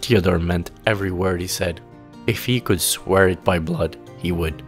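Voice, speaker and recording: Casting: male storyteller, low voice, solemn and measured, for dramatic fantasy narration with soft music behind. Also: steady tone.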